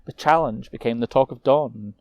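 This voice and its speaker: A man narrating a fantasy tale as a storyteller, with a measured, theatrical delivery. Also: medium pitch, darkish tone, no echo.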